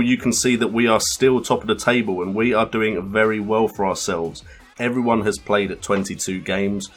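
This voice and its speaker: A man's voice: lowish voice, a bit bright. A man hosting a gaming channel, giving casual let's play commentary.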